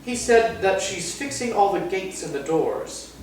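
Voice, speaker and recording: Man as a high-pitched, defiant little girl. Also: lively intonation, measured pace, a little hiss or hum. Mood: curious, wary. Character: brave, defiant young girl, earnest and indignant. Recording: very distant, echoey room.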